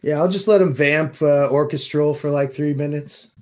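A man's voice: on the high side, steady tone, moderate pace, a little mellow. A man co-hosting a podcast, talking casually in an informal, chatty, lightly joking way. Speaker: podcast co-host.

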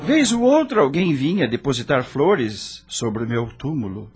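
A man's voice: medium pitch, very animated, measured pace, darkish tone, husky, faint music behind, heavily acted. An actor playing an anguished dead male rock star, narrating his own story in a theatrical, brooding radio drama style.

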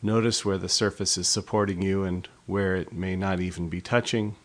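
Man, meditation instructor, lowish voice, measured delivery, pauses between phrases, gentle guidance. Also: steady tone. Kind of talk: guided body scan meditation.

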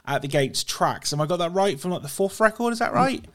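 A man's voice: high voice, animated, fairly quick, a bit bright. A man interviewing a musician in casual chat, enthusiastic, leaning on "you know" and "absolutely".

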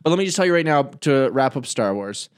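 A man's voice: mid-range voice, expressive, quick pace, clear, resonant tone. A man co-hosting a podcast, chatting casually, swearing often and teasing his friends. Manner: crude, swears a lot, playful boasting. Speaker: podcast co-host. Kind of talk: casual banter.

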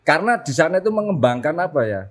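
A man with a Surabaya Javanese accent, talking casually as a journalist guest, relaxed and joking. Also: on the high side, fast talker. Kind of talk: casual interview chat.

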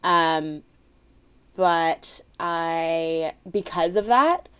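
A woman in her late twenties with a slightly low voice chats loosely with a friend. She swears freely, laughs often and leans on "like" all the time. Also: extremely slow, a bit bright, smooth. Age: late 20s. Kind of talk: casual chat.

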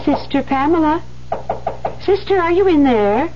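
A woman's voice: sits high, expressive, unhurried. An actress playing a kindly, motherly older nun in a 1970s radio drama, fussing and cajoling with affection. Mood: concerned. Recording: dull, noisy 1970s radio recording.

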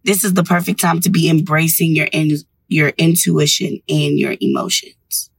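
A woman's voice: deep voice, steady tone, normal speed, vocal fry, husky. A woman hosting a radio show, delivering a scripted motivational monologue in an encouraging, instructive manner.

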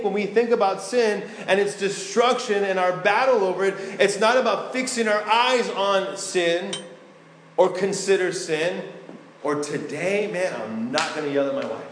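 A man's voice: high-pitched, slight background noise. A male pastor preaching a sermon in a conversational way, with asides and restarts. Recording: echoey hall, faint ambience.

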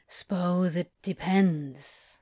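Female narrator as laconic male demon, lowered voice, mumbled drawl, clipped, guarded, moody, audiobook character. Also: drawn-out words, mellow, slightly rough, heavily acted. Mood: pensive, offhand.

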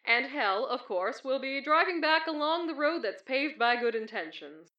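A self-satisfied archangel announcer acted by a woman in an audio drama. The high, showy voice booms out over a loudspeaker, echoing and muffled. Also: animated, normal speed. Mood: smug, showy. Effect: loudspeaker echo. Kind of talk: announcement in audio fan fiction. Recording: dull, echoing loudspeaker sound.

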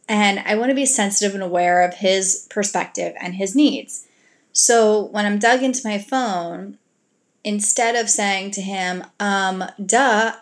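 A woman at a mid-range pitch talking her listeners through relationship boundaries, earnest and gently coaching. She slips into soft, affectionate example lines to a partner and then returns to plain advice. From grew up in a Greek family. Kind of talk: advice talk to an audience.

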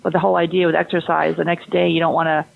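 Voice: medium pitch, little pitch movement, racing, vocal fry, low-quality recording. A fertility health practitioner explaining testing and diet in a conversational interview, often restarting and repeating words.

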